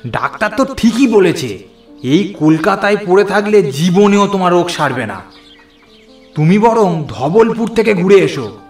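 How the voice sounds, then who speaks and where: A confident, persuasive friend from a horror cartoon. His voice sits high for a man and rings with echo, with soft music behind it. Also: sing-song, a little slow. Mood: brisk, reassuring. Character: lively confident male friend urging a sick man onward. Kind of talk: Bengali horror cartoon voice acting.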